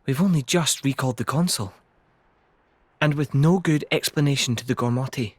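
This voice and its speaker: A man's voice: medium pitch, moderate pace, no room sound, natural voice. A man playing a grave, thoughtful, worried monarch in a video game, speaking formally and with measure. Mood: somber. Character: grave, thoughtful male monarch burdened with worry. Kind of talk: dubbed video game cutscene acting.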